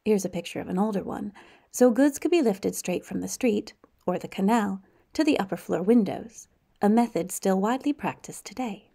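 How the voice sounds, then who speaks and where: A woman with a gravelly, fry-edged voice narrates a walking tour. She speaks in a measured, informative way, with a dry, lightly wry touch. Her pitch sits in a middle range. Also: animated, warm tone. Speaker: tour guide.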